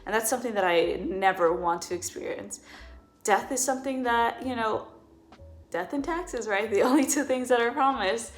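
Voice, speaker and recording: A woman's voice: a little high, normal speed, faint music behind. A woman in her twenties talking earnestly in a personal vlog. She often repeats words for emphasis. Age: 20s. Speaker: vlogger.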